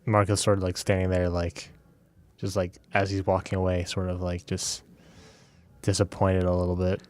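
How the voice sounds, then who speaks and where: Low-voiced, hesitant man playing a game. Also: monotone, normal speed, a little mellow. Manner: hesitant, rambling. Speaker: player in a tabletop game podcast. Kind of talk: casual improvised game chat.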